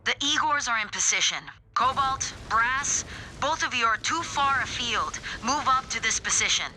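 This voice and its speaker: An actress playing an alert female mech officer in a military video game, with a brisk, urgent manner. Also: fairly high pitch, animated, pushed and bright, slight background noise, rough recording. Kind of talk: video game cutscene acting.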